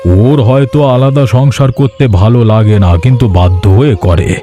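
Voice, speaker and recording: A man voicing a gentle elderly father who calmly soothes his wife. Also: mid-range voice, moderate pace, natural voice. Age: older. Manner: soothing, reasoning. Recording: voice over music.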